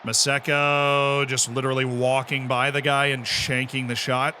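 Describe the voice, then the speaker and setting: An American man live-streaming a game, commenting excitedly, shouting at big moments and cracking jokes in between. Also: medium pitch, normal speed, slight background noise. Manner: excitable, shouts at goals, jokey asides.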